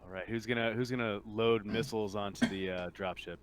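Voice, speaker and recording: Low voiced guy game mastering, halting casual explanations, noisy background. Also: steady tone.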